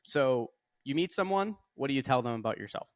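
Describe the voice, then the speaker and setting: An upbeat male podcast host with a mid-pitched voice, recorded close and dry. He asks questions in a friendly way and stumbles over repeated words while he finds his phrasing. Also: animated, brisk, no echo. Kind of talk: podcast interview.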